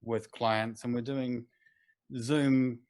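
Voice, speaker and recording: Brisk male studio panelist. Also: medium pitch, level tone, a little mellow. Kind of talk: casual video call panel.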